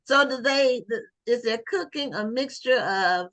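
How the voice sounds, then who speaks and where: A woman's voice: fairly high pitch, unhurried, fairly bright tone. A woman hosting an interview, asking questions in a friendly, casual way. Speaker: talk show host.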